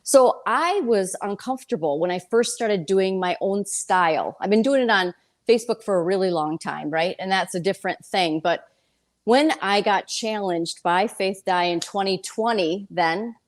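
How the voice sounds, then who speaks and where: Woman, 50s, interview guest; candid, colloquial storyteller with a mid-pitched everyday voice. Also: normal speed. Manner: animated storytelling, quotes herself and others, colloquial. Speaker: interview guest, real estate broker and speaker. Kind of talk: casual, candid interview chat.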